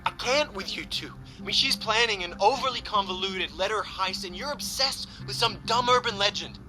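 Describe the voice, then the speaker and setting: A man's voice: sits high, fairly quick, pushed and bright, soft background music, poor audio. A young man with an American accent playing a protective, exasperated, foul-mouthed best friend in a naturalistic audio drama. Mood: exasperated, heated. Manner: blunt, profane, slangy.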